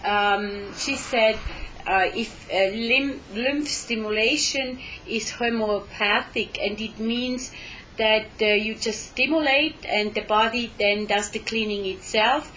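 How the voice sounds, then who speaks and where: Slow, halting woman interpreter. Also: a little high, sharp tone, slight background noise, poor audio. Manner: halting, with frequent hesitations. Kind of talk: live interpreting between English and German.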